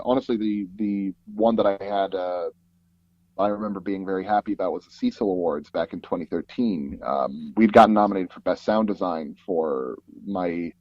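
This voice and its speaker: A man's voice: deep voice, no echo, lo-fi sound. A man giving a casual interview, telling stories in a rambling way with many restarts, recorded in a small padded closet booth. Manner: rambling, animated storytelling with frequent "like" and repeated false starts. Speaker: interview guest, sound designer and audio drama producer.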